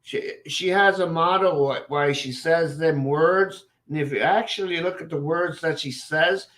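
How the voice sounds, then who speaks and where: A man in casual chat who is drinking, gruff and rambling, swearing, with halting drawn-out phrases. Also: a little high, fairly bright tone.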